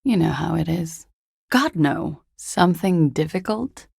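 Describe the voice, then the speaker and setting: An actress playing a cool, guarded young woman in a video game, with a husky, breathy, gravelly voice and a languid, dryly understated delivery. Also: low-pitched, expressive, measured pace, darkish tone, no room sound, full character voice. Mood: wry, detached. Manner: languid, dry, wryly understated. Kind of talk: video game character dialogue.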